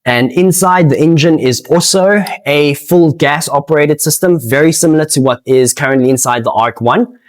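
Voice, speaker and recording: A man with a South African accent giving casual, unscripted interview answers in a hesitant, halting way, often repeating words. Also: on the high side. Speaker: interviewee, amateur speedsoft player and organiser.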